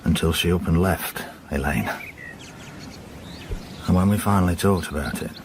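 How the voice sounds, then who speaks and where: Low-voiced, weary police inspector. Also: male voice, moderate pace, noisy background. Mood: wistful, reflective. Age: middle-aged. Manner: understated, terse, sardonic questioning. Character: weary, dry, probing male police inspector. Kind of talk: naturalistic radio drama acting.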